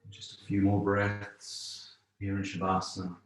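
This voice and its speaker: A man teaching yoga, guiding relaxation and breathing in calm, unhurried phrases with long pauses. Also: fairly low pitch. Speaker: yoga instructor. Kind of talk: guided yoga relaxation instruction. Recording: very echoey room.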